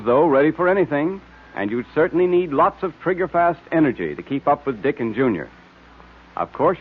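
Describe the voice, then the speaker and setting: A man working as announcer and narrator, delivering a scripted read in old-time radio style. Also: medium pitch. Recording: tinny vintage radio recording.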